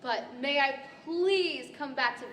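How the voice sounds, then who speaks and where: A woman's voice: high voice, takes it slowly, fairly bright tone, a little hiss or hum. A woman playing an earnest, polite storybook girl in a 1940s radio-style stage play. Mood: polite, wistful. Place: large library event room, standing microphones. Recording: echoey hall, distant microphone.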